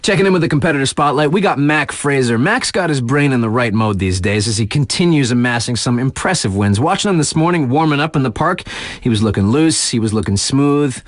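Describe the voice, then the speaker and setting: A male actor's take on a laid-back sports radio DJ for a snowboarding game. He has a mid-pitched male voice that moves around a lot, in a dry studio sound. His delivery is chatty and slangy, slipping from announcer patter into casual, halting asides. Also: lively intonation, no room sound, natural voice. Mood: upbeat, hyping.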